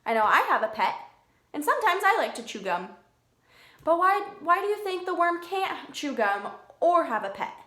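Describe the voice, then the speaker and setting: A woman's voice: sits high, very animated, normal speed, bright, forceful tone. A woman preschool teacher reading aloud to young children, talking simply and putting questions to them. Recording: echoey room.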